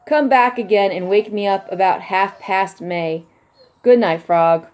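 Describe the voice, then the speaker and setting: A woman's voice: medium pitch, unhurried, darkish tone, close to an everyday voice. A woman voicing a sleepy, grumpy male toad who wants to stay in bed. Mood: drowsy, reluctant. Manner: drowsy and reluctant.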